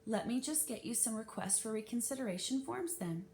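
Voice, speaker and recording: A woman's voice: lively intonation, brisk, darkish tone, echoey room, lightly acted. Woman acting an indignant, sarcastic school librarian. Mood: coolly firm. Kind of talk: children's novel read-aloud. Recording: noisy with low rumble.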